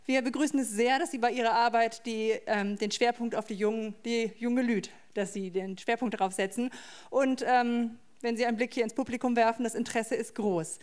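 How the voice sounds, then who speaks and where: A woman's voice: a little high, fairly even intonation, fairly quick, darkish tone. A woman welcoming guests to an event as moderator, friendly and a little hesitant, with small fillers. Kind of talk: welcoming address.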